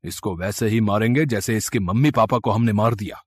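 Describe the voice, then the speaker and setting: Husky, scheming greedy uncle acted by a man. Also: lowish voice, fairly quick, no room sound, clean studio sound. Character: scheming murderous uncle, falsely kind, greedy. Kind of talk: moral-story acting.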